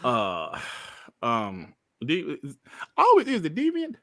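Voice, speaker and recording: A man from Detroit hosting a casual podcast livestream, animated and joking as he reads out chat messages. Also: on the high side, takes it slowly, dry recording, clean studio sound. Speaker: podcast host.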